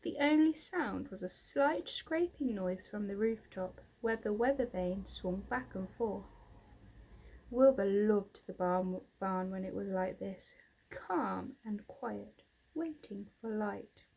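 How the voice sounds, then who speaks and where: A woman's voice: medium pitch, expressive, takes it slowly, vocal fry, dark tone, breathy edge, low-quality recording. A woman narrating a children's book expressively, like a teacher reading aloud. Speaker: reader, teacher.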